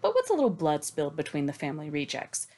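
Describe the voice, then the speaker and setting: A woman's voice: low-pitched, huge pitch swings, quick pace, dark tone. A woman co-hosting a book discussion, casual and sweary, with a sarcastic, emphatic delivery. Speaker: podcast co-host giving recaps. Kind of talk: sarcastic, scripted-sounding book recap and discussion.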